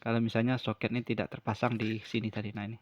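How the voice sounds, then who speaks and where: A man's voice: on the low side, level tone, rapid-fire, no room sound. A man gives an unscripted hands-on repair tutorial, explaining step by step and often repeating himself. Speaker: phone repair tutorial presenter.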